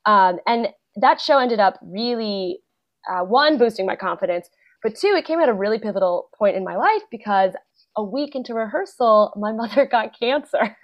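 A young adult woman, a guest actor and playwright, chatting casually in an interview, full of fillers and quick laughs. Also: a little high, lively intonation. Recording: dull lo-fi podcast audio.

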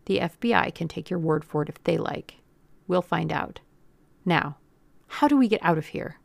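Audiobook hero at breaking point: blunt, threatening man acted by a woman, gravelly edge, dry recording. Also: fairly low pitch, lively intonation, normal speed, dry recording. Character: desperate, defiant armed man pushed to breaking point. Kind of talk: audiobook character acting.

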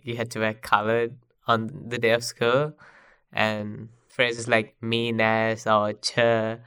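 A man's voice: mid-range voice, clear, resonant tone, no room sound, studio quality. A young man from Sri Lanka, a native Sinhalese speaker, chatting casually as a podcast guest with lots of "like" fillers. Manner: relaxed, filler-heavy, says "like" often.

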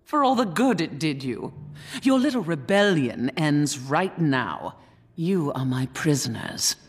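A man's voice: fairly high pitch, sing-song, measured pace, long reverb tail. A man voicing a cold, gloating female imperial governor who taunts her captives. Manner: smug, triumphant, threatening.

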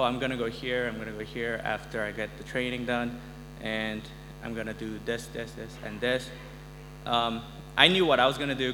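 A man gives an informal personal testimony to an audience. His pitch moves very little as he talks conversationally. The sound has long hall reverb and a clear electrical hum underneath. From Korea and Uganda. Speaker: incoming missionary high school math teacher.

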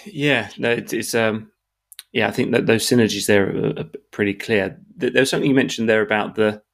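A man's voice: on the low side, brisk, gravelly. A man hosting a business podcast, talking casually and stumbling over repeated words. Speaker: podcast host, sales director.

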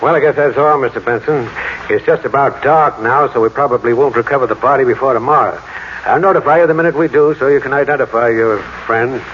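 An actor playing a polite, matter-of-fact American state police sergeant in an old-time radio drama. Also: male voice, mid-range voice, fast talker, natural voice. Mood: calm, official. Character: businesslike, courteous male state police sergeant. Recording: muffled vintage radio with faint noise.

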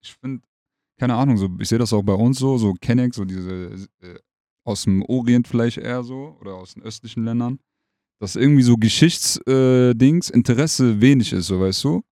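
A man's voice: medium pitch, moderate pace, warm tone, no echo, clean studio sound. A young man hosting a podcast, chatting casually and with plenty of slang. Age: young adult. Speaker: podcast host, interviewer.

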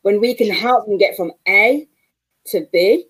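A woman from England with a Mancunian accent, a guest coach on a remote-call podcast interview, warm and encouraging. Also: mid-range voice, lively intonation, a little slow, husky. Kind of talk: casual interview chat.

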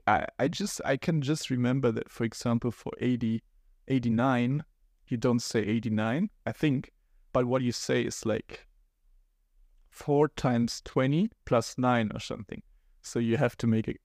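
Creaky-voiced German man, hesitant. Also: mid-range voice, a little mellow, dry recording, clean studio sound. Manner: hesitant, thinks aloud with fillers and laughs. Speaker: podcast guest. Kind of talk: casual podcast chat.

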